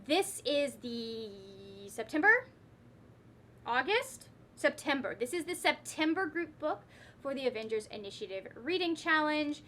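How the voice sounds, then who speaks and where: Bright, high-voiced woman chatting casually. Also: expressive, a little slow, a little hiss or hum. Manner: rambling, self-correcting, playfully wry asides. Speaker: book vlogger. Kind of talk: casual chatty book talk to camera.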